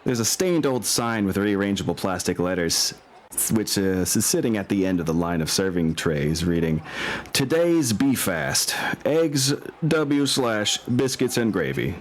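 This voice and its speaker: A man's voice: lowish voice, sing-song, measured pace, a little hiss or hum. A man narrating a tabletop role-playing game as game master, in an informal, improvised way.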